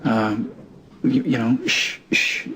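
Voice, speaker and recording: An actor playing a playful fugitive sweetheart, speaking in halting banter in soap opera style. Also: male voice, medium pitch, sing-song, a little hiss or hum, exaggerated voice. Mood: flustered, wry. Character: man on the run, playful and teasing sweetheart. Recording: loud music behind the dialogue.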